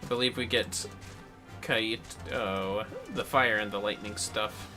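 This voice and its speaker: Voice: mid-range voice, steady tone, slow, bright, forceful tone, faint music behind. A gamer giving casual livestream commentary in a playful, reactive, sweary way. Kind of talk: casual game-stream commentary.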